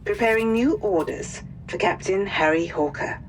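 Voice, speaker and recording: A woman's voice: mid-range voice, moderate pace, slight background noise, close to an everyday voice, poor audio. A woman acting a formal military intelligence officer, narrating an official debriefing for a war game.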